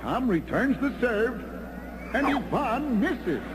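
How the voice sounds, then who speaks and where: A man voicing an excitable cartoon tennis commentator, calling the play in a theatrical, exclamatory manner. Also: very high voice, slow pace, very noisy, exaggerated voice. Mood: announcing. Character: excitable male cartoon tennis match announcer. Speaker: sports commentator. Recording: music under the voice. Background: loud background music.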